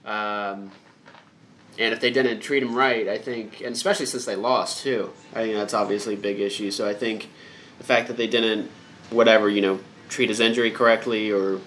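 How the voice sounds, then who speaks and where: A man's voice: fairly low pitch, steady tone, normal speed, a bit bright, slight background noise. A young man co-hosting a casual sports podcast. He sounds stuffy from a cold and talks in an easygoing, chatty way. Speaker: podcast co-host.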